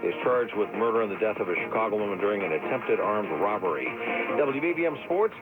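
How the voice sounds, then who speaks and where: A man's voice: on the low side, expressive, fast talker. A man reading scripted headlines and weather as a local radio news anchor. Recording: tinny AM radio. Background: loud music bed.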